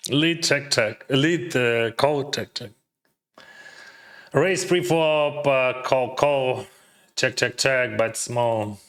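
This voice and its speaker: A man at a medium pitch talking through his poker hands for viewers. He thinks aloud in a loose, chatty way, tossing in casual slang, and his English carries a light accent. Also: drawn-out words, fairly bright tone. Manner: mildly accented non-native English. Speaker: poker streamer. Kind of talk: casual live-stream commentary.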